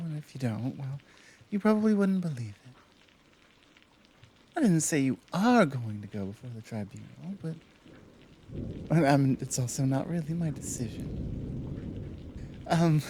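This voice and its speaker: A woman playing a gentle but guarded, protective Amazon warrior in an ASMR roleplay, soft-spoken and earnest, with hesitant pauses and gentle chiding. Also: sits low, full character voice. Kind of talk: ASMR roleplay, one side of a dialogue.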